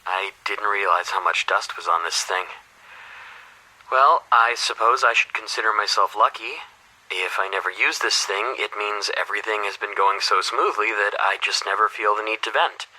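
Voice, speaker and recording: Husky, very bright-voiced troubled headmaster. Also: male voice, on the low side, moderate pace, exaggerated voice, low-quality recording. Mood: reflective, confiding. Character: anxious, troubled middle-aged male school headmaster. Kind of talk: dramatic recorded confession monologue.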